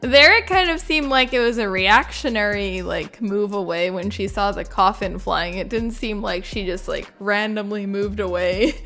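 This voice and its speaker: A woman's voice: medium pitch, normal speed, few pauses, pushed and bright, faint music behind. A woman giving informal, rambling reaction commentary, sprinkling in "like" as she goes. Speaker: video creator and game lore reviewer.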